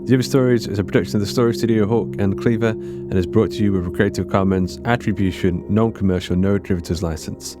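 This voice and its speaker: A podcast host reading the episode credits in a brisk, casual, upbeat way. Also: male voice, fairly low pitch, fairly even intonation. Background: music.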